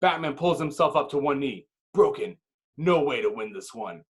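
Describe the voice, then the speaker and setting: Male narrator for scripted action, medium pitch, dramatic and clipped. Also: lively intonation, moderate pace. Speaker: narrator reading stage directions.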